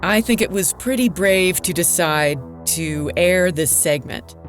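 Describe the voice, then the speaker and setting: A woman's voice: lowish voice, a little slow, faint music behind, close to an everyday voice. A woman playing a composed radio interviewer questioning her colleague with concern in an audio drama.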